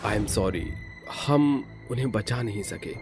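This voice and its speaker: A man's voice: on the low side, takes it slowly, darkish tone, soft background music. A man voicing a grave, businesslike hospital doctor in a story, clipped and formal. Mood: sombre. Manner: clipped, formal, mixes in English words.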